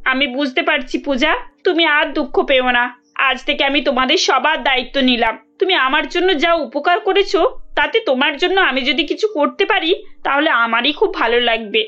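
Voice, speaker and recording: A woman's voice: sits high, normal speed, bright, forceful tone, highs cut off, faint music behind. A woman voicing a kindly, well-off madam in a cartoon, concerned and reassuring. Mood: kind, grateful. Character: well-off, kindly, grateful madam, protective sister-in-law. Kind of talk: cartoon dialogue.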